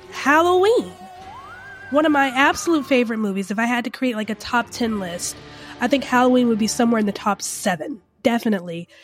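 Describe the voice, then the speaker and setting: A woman hosting a film podcast, stuffed up from a cold, talking casually and rambling with lots of fillers. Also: a little high. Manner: chatty and rambling, many fillers. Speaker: podcast host, film reviewer.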